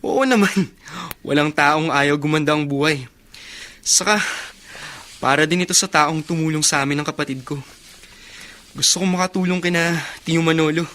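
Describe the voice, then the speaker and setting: A man's voice: fairly high pitch, normal speed, bright, forceful tone. An actor in a Filipino radio drama playing an earnest, ambitious and determined young man. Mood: earnest, eager. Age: young adult.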